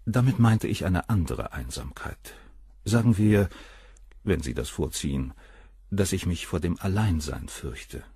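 A man's voice: low-pitched, animated, unhurried, dark tone, husky, no room sound, studio quality, exaggerated voice. An actor in a German crime radio play playing a cold, cynical middle-aged surgeon, detached and coolly superior as he answers questions. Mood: detached, explanatory.

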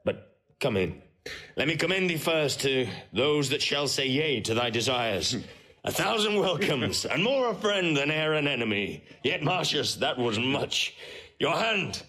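Proud, declaiming warrior general. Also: male voice, on the high side, sing-song, measured pace, pushed and bright, exaggerated voice. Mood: hearty welcome. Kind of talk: classical Shakespearean audio drama acting.

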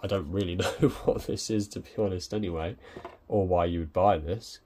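A man's voice: sits low, fairly even intonation, normal speed, mellow, a little hiss or hum. A young man talking casually through an unboxing at his desk, hesitant with many ums and restarts. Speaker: tech reviewer. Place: indoors at a desk.

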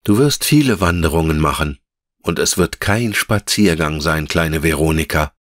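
A gentle female elf of the trees from a storybook, acted out by a man. The voice is low and dry, with a measured, solemn tenderness and patient, lyrical phrasing. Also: expressive, dry recording, exaggerated voice. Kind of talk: fairy-tale audiobook character.

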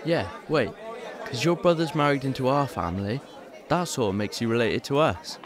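An actor playing a teasing, joking working lad in an audio drama. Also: male voice, medium pitch, animated, normal speed, crowd noise, lightly acted. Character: teasing older lad, a chimney sweep workmate.

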